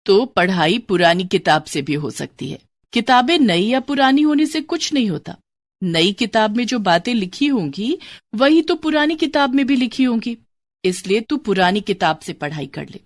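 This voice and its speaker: A woman's voice: mid-range voice, big pitch swings, moderate pace, no room sound, clean studio sound. A woman voicing a sensible, firm poor mother in a storybook tale, reasoning in an instructive way. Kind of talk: storybook character dialogue.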